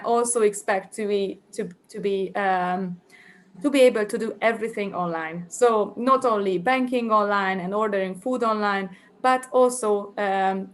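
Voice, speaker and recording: Hesitant Hungarian woman presenting a talk. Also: medium pitch. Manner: hesitant, many fillers and restarts while thinking aloud. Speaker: conference presenter. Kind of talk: academic conference presentation.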